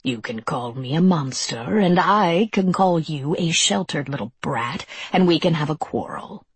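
A woman voicing a menacing male monster, coldly amiable and mocking, in a slow breathy hissing whisper. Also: sits low, lively intonation, no room sound, heavily acted. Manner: slow, drawn-out, taunting.

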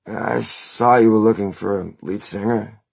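A man voicing an easygoing young singer in an audiobook, with slow, slightly drawling surfer diction. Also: on the low side, steady tone, vocal fry, a little mellow, slightly rough, dry recording, pitched above the performer's own voice, heavily acted. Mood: polite, casual.

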